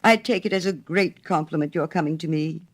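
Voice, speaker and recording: A woman's voice: deep voice, fairly quick, breathy edge. A woman voicing a humble, gullible little man in a storybook, shy and eager to please.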